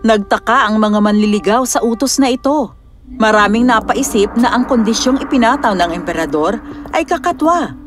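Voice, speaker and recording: Female narrator for children's storybook, fairly high voice, scripted telling over clear music. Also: expressive, normal speed.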